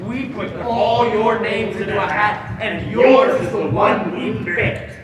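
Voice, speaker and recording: A man on stage playing a cold, domineering armed captor who taunts and commands with deliberate, theatrical delivery. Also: high-pitched. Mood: taunting. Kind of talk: one-act stage play acting. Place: indoor stage in a large reverberant hall. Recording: very echoey hall, distant, crowd noise.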